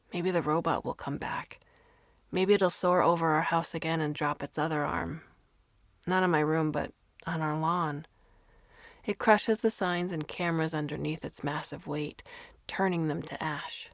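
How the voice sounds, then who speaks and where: A woman reading a first-person short story as narrator, in a dramatic, expressive manner. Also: low voice, a little slow, long pauses, dry recording, studio quality.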